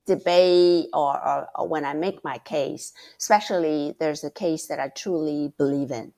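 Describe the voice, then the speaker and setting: A middle-aged woman giving advice as a mentor, talking slowly and thoughtfully, often repeating words as she searches for them. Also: low-pitched, fairly even intonation. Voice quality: loud, passionate voice. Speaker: guest mentor. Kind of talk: casual advice talk.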